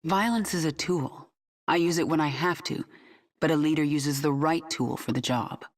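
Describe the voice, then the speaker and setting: A woman's voice: deep voice, normal speed. An actress playing a ruthless alien swarm queen who speaks in a cold, controlled, commanding way.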